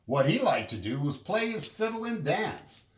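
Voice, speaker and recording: A man narrating a children's story in an expressive, deliberate storytelling manner. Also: high voice, reverberant. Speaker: storybook reader.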